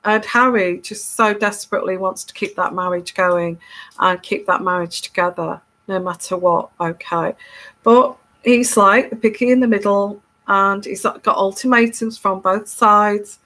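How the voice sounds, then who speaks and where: A woman's voice: mid-range voice, steady tone, unhurried, pushed and bright. A woman with a stuffy head cold, talking casually to her viewers as a tarot reader, often tagging her points with "okay?" and restarting words. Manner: chatty, keeps tagging "okay?", many restarts. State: congested from a cold. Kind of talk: casual solo video monologue.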